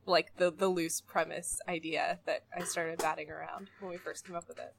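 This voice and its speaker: A young woman from California, a podcast guest telling stories in a chatty, animated way. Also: lowish voice, moderate pace, vocal fry, a little hiss or hum. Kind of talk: casual chat.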